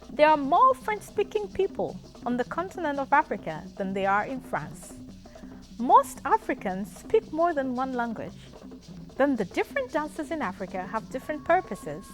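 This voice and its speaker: A woman with a Ugandan accent presents in an educational style. Her voice sits on the high side and moves through a very animated range of pitch. She explains things in a measured, emphatic way, with faint music underneath. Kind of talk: educational narration.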